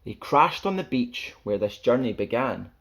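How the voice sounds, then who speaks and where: Male storyteller for a children's picture book: animated rhyming read-aloud, playful, theatrical, mid-pitched. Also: moderate pace, creaky. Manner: playful, theatrical, sing-song rhythm.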